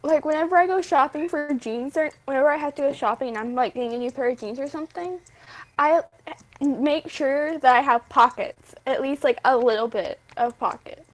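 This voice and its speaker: Young female, high voice, informal and giggly, relaxed group-chat delivery. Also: a little fast.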